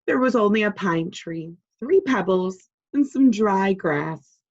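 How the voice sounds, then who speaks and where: A woman voicing a friendly frog who calmly and patiently tells a story of his childhood. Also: medium pitch, slow pace, highs cut off. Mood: reminiscing.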